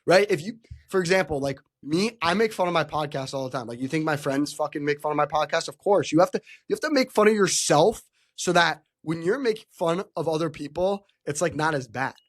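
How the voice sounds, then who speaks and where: A man's voice: a little high, expressive, fairly quick. A young man hosting a podcast in a loose, chatty way, full of likes and rights. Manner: chatty, many likes and rights, laughs easily. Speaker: podcast host. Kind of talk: casual chat.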